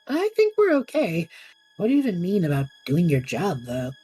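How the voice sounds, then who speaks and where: A woman playing a cocky, cheeky young male adventurer who talks in a flippant, chatty, excitable way in a fantasy audio drama. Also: on the low side, moderate pace, breathy edge, soft background music, heavily acted, rough recording. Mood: flustered.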